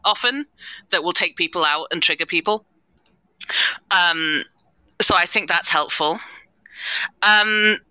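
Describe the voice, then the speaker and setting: A woman's voice: on the low side, sharp tone, no room sound, low-quality recording. A woman speaking earnestly and thoughtfully as a panelist in a live discussion, often qualifying what she says. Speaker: panelist, head of inclusion at an audio drama company.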